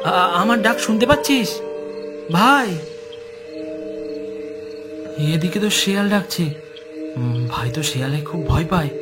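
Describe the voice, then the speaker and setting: A man's voice: sits high, very animated, moderate pace, over loud music. A man voicing a protective young older brother in a horror cartoon. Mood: panicked. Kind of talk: Bengali horror cartoon dub.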